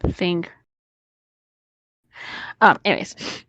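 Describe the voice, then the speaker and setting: A woman's voice: drawn-out words, a bit bright. Female co-host for casual read-aloud storytelling; leisurely, informal, cheeky, a little muffled with little echo. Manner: informal, joking, swears freely. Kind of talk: casual online story reading with banter.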